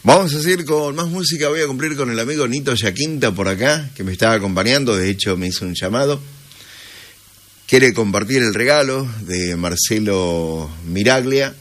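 A man's voice: mid-range voice, moderate pace, fairly bright tone. A sleepy man with an Argentine accent hosting a morning radio show, chatting casually and unhurriedly with listeners.

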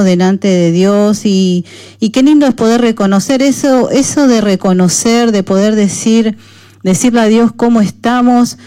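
A woman's voice: medium pitch, mellow, dry recording. A woman hosting a devotional radio programme, speaking in a devout, encouraging way and often checking in with short tag questions. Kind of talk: devotional radio talk with listener messages and prayer. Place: radio studio.

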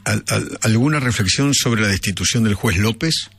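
A man's voice: on the low side, moderate pace, gravelly, fairly bright tone, breathy edge, dry recording. A man with an Argentine accent hosting a radio news show in a conversational style.

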